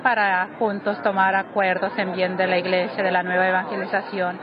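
Mexican nun interviewee, devout and earnest, medium pitch, dull recording. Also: female voice. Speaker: religious sister interviewee. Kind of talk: unscripted interview answer.

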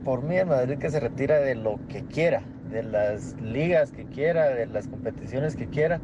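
A man's voice: medium pitch, lively intonation, normal speed, lo-fi sound. Guatemalan man on a noisy voice message. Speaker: listener leaving a voice message.

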